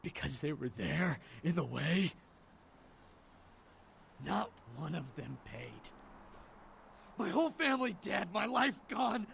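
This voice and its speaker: A man's voice: sits high, very animated, takes it slowly, gravelly, long pauses, a bit bright, a little hiss or hum, full character voice. An actor voicing a bitter, vengeful father turned ruthless vigilante in a video game, seething, defiant and intense. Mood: grim vengeance. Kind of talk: dramatic video game voice acting.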